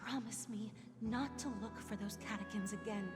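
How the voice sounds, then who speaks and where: A woman playing a gentle, devout, protective older sister in a video game. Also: medium pitch, normal speed, close to an everyday voice. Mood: worried. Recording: long reverb, loud music behind.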